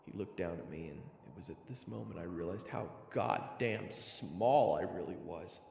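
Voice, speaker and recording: A man's voice: deep voice, moderate pace, creaky, a little mellow, slight background noise. A man playing a self-deprecating young swordsman who narrates his own fantasy story, nervous and sometimes stammering. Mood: hushed storytelling. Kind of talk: first-person scripted narration and acting.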